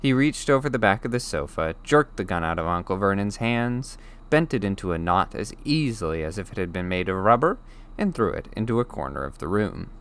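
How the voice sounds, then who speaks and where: A man reading a children's novel aloud as an audiobook, in expressive scripted narration. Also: fairly low pitch, brisk, clean tone. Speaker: audiobook reader.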